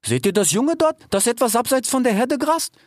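Man putting on a high, slangy female cheetah. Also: sing-song, normal speed, no echo, studio quality, heavily acted. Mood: plotting. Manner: slangy, ends phrases with a questioning tag. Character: brash, hungry young female cheetah, sister of two.

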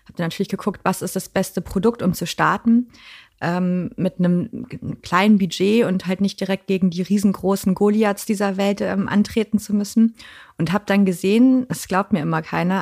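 A young adult woman, a founder, talking casually in a podcast interview, chatty with many fillers and quick asides. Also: mid-range voice, darkish tone.

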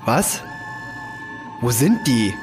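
A man's voice: medium pitch, big pitch swings, very slow, over music. A man playing a defiant, wry human fugitive in a science fiction audio drama, given to swearing. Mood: exasperated.

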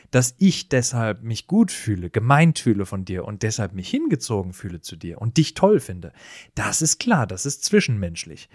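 A man's voice: on the low side, no echo, clean studio sound. A man co-hosting a podcast, thinking aloud in casual conversation and often checking that he has understood. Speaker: podcast co-host. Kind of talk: casual reflective podcast chat.

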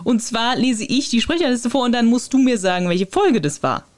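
A woman co-hosting a podcast in casual chat, lively, laughing often, quick to correct her co-host. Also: fairly high pitch.

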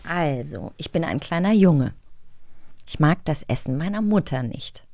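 A woman co-hosting a casual podcast quiz, lively and teasing, who thinks out loud while guessing. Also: sits low, measured pace. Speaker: podcast co-host. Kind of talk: casual chat, quiz game.

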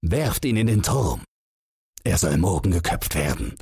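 A man's voice: low voice, sing-song, a little slow, gravelly, a little mellow, breathy edge, no echo, studio quality, exaggerated voice. A man voicing an indignant, blustering old king in a fairy tale audiobook, imperious and scornful. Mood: menacing.

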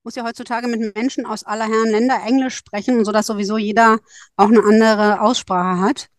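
A woman advising on school exchanges speaks at length and thinks aloud as she explains. Her voice sits at an ordinary pitch and sounds dry and close, with no room echo. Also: flat delivery, fairly quick. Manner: thoughtful, explains at length with fillers. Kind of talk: informative podcast talk.

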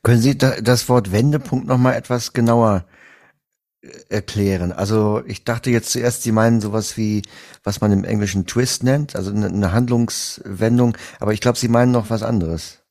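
A man's voice: fairly low pitch, moderate pace, darkish tone. A man, hosting a conversational interview. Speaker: interviewer and workshop host.

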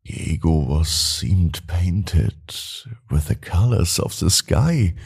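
A man with a deep, mellow voice tells a children's bedtime story. His delivery is gentle and deliberate, with pitch that rises and falls in a very lively way. Music plays behind him. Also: gravelly, slightly rough. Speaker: bedtime story podcast narrator.

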